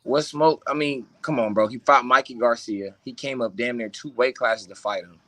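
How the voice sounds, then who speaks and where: A man's voice: moderate pace, a bit bright, no echo. Blunt man arguing boxing opinions. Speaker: co-host guest. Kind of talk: casual boxing debate. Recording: lower-quality dry mic.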